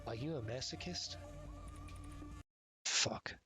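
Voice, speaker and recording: A man's voice: medium pitch, slow pace, loud music behind the voice. A man live-streaming a puzzle game, muttering and swearing in frustration as he guesses passwords. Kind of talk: live-stream commentary while gaming.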